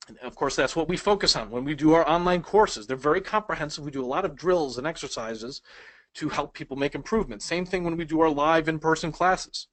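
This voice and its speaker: A man's voice: a little high, brisk, fairly bright tone, dry recording. A man whose first language is Polish, teaching speed reading in a conversational webinar and asking the audience questions. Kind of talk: webinar lesson.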